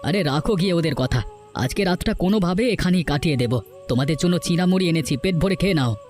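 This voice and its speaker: A proud, homeless village father from a cartoon folk tale , acted by a man. His voice sits a bit high and he declaims earnestly. Light music plays underneath. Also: animated, fast talker, darkish tone, close to an everyday voice. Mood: caring. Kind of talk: Bengali cartoon folk-tale dialogue acting.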